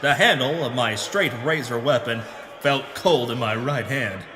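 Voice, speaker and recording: A man's voice: mid-range voice, close to an everyday voice. A man playing a pompous hard-boiled adventurer, narrating in the melodramatic style of a pulp radio serial. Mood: grave, suspenseful. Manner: melodramatic, grave, deliberate hard-boiled delivery. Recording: reverberant voice over background music.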